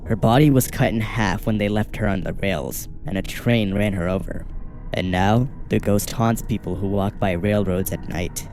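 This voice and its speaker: A man narrating a first-person horror story in a steady, measured, scripted way. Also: lowish voice, a little hiss or hum. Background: faint music.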